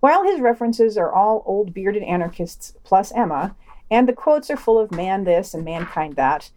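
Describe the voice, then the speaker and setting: Female podcast host, mid pitch, animated melody, scripted read with dry wit. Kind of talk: scripted news-and-opinion reading with wry commentary.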